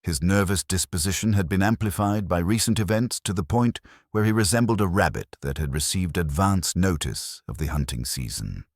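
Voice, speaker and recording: A man narrates a mystery story in an extremely deep, husky voice recorded dry and close. His delivery is measured and wry, like a literary audiobook, with plenty of melodic movement. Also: animated, no echo.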